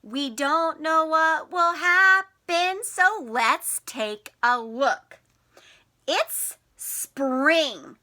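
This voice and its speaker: A woman teacher reading a picture book to young children in an expressive, sing-song way, putting questions to them. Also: high-pitched, extremely slow. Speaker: teacher and storytime host.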